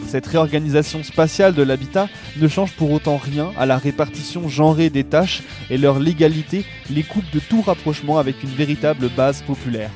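A man's voice: on the high side, darkish tone. A man reading a scripted documentary narration as a radio narrator. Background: music with guitar.

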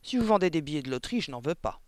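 A man's voice: on the high side, big pitch swings, rapid-fire, a little mellow, no room sound, clean studio sound. A man voicing a rude, impatient father in a storybook, grumbling and barking in slangy speech. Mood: curt, suspicious. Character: gruff, rude, impatient small-time father.

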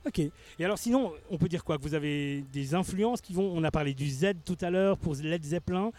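A man's voice: a little high, a little fast, darkish tone. A man hosting a casual radio interview, chatty, laughing easily and tripping over his words. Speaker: radio host.

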